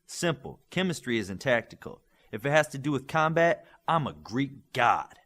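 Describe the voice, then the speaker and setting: A man's voice: medium pitch, big pitch swings, normal speed, a bit bright, voice pushed up. A man voicing a boastful, easily frustrated young superhero student in an audiobook.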